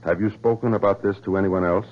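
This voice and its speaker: An actor playing a sensible, skeptical colleague in a 1970s radio thriller, with an emphatic, reasoning delivery. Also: male voice, lowish voice, steady tone, quick pace, close to an everyday voice. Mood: placating, probing. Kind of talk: 1970s radio drama acting. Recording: muffled, noisy vintage radio broadcast.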